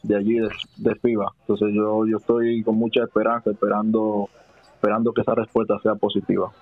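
A man's voice: lowish voice, flat delivery, fairly quick, no room sound, soft background music. Hesitant Dominican man, thin phone-like sound. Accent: Dominican Spanish. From Dominican Republic. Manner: hesitant, many restarts and fillers. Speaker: basketball player guest. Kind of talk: casual interview answers. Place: small studio with desk. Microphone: headset and desk mic. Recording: dry, phone-like narrow sound.

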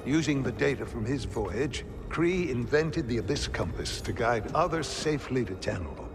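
A man playing a grave, scholarly older Jedi master in a video game, explaining in formal, measured sentences. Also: mid-range voice, lively intonation, in a large hall, over music, lightly acted. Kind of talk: video game cutscene acting.

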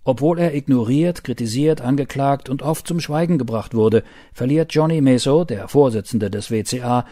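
Casting: male voice-over for documentary reportage, soft dark timbre, measured read, dry studio-style recording. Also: mid-range voice, dry recording. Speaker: voice-over narrator. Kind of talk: scripted reportage narration and voice-over.

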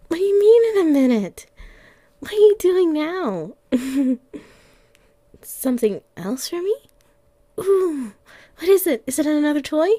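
A woman's voice: sits high, very animated, dry recording, heavily acted. A woman voicing a playful, clingy young catgirl girlfriend in an ASMR roleplay, affectionate and cooing, close to the mic. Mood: excited, playful. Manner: soft, affectionate, slow with long pauses, cooing.

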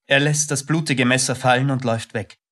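Narrator for radio drama: male, medium pitch, scripted dramatic storytelling. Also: steady tone, a little fast, fairly bright tone.

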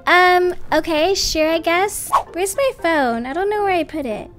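A woman's voice: very high voice, lively intonation, measured pace, music in the background. A young woman voicing a shy, wary nerdy schoolgirl in a game story, hesitant and slangy. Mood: baffled, wary. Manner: hesitant, baffled teen slang.

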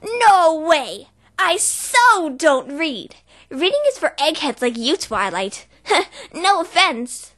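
A woman's voice: high voice, very animated, slow, fairly bright tone, exaggerated voice. A woman doing a cocky tomboy pegasus. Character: brash cocky tomboy pegasus pony girl. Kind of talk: fan voice reel impression.

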